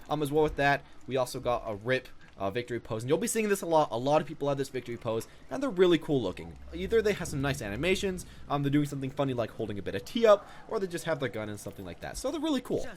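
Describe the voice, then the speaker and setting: A man's voice: fairly high pitch, very animated, racing, slight background noise. A young man giving casual, rambling off-the-cuff commentary as a gaming video host.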